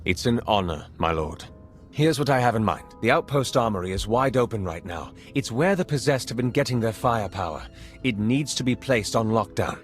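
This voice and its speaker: An actor playing a dutiful, earnest intelligence agent in a video game, giving formal, brisk briefings. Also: male voice, lowish voice, expressive, soft background music, natural voice. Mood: deferential, purposeful. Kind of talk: video game cutscene acting.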